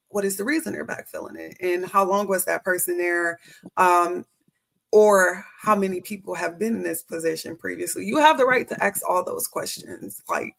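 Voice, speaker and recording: A woman's voice: lowish voice, gravelly, fairly bright tone. A young adult woman, a guest in a casual interview, talking through her views on hiring with plenty of fillers and restarts. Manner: chatty, many fillers and restarts. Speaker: HR professional guest.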